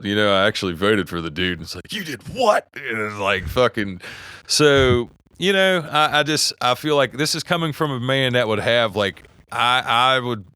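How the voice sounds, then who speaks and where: A man's voice: fairly low pitch, sharp tone, clean tone, no room sound, clean studio sound. A middle-aged man with a West Virginia accent, co-hosting a podcast in heated, profane casual talk, often stopping and restarting his sentences. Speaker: podcast co-host. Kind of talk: casual opinionated chat.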